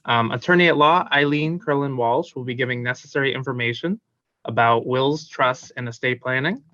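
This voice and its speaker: A man's voice: mid-range voice, normal speed, pushed and bright, dry recording, dull audio. A man hosting a library program, introducing a speaker in a casual way with many ums and uhs. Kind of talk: event introduction.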